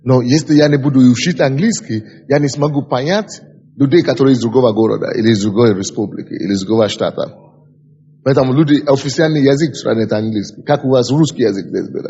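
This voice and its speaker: A male pastor from Africa speaks, his voice slightly breathy and rough. He lectures in an exhorting way, repeating key phrases and asking his class questions. The recording sounds dull and lo-fi, with a long reverb trailing off through a large hall. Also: mid-range voice, quick pace, mellow. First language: an African language. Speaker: pastor teaching a ministry school.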